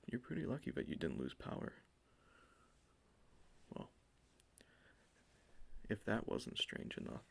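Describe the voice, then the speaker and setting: A man doing an ASMR roleplay in a soft, mostly whispered, gravelly voice, close to the mic. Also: very deep voice. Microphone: close sensitive ASMR microphone.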